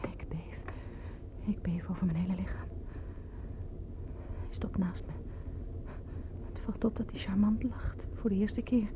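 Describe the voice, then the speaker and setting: A woman playing an anxious, determined truth-seeker in a radio drama, by turns pressing and hushed. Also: medium pitch, a little slow, long pauses, in a whisper, natural voice. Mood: anxious, brooding. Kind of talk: radio drama acting with intimate interior monologue.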